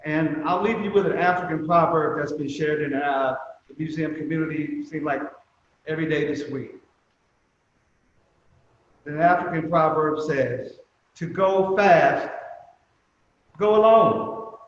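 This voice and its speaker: A man giving prepared remarks as a museum representative at a commemoration, speaking in a measured, emphatic way with deliberate pauses. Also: fairly high pitch. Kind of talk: prepared speech at a virtual commemoration. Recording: very echoey reverberant room, muffled, faint noise.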